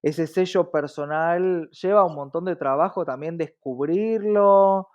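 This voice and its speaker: A man's voice: fairly high pitch, measured pace, darkish tone, highs cut off. A man with an Argentine accent talking reflectively in a conversational interview, often checking in with short tag questions.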